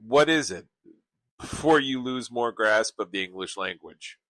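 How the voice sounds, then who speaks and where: Man acting wry, lively, sardonic best friend. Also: medium pitch, a little slow, no echo, low-quality recording. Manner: dry, wisecracking. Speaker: actor in a radio comedy play. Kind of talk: scripted radio play comedy dialogue.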